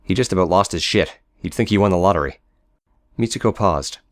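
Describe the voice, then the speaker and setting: Male narrator, low register, scripted prose storytelling for an audio book. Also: a little fast. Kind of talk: scripted narration with character dialogue.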